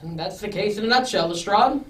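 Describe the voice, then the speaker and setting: A woman's voice: medium pitch, fairly quick, close to an everyday voice. A woman playing a polite, hesitant, puzzled lord in an amateur radio drama. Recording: distant microphone, background noise.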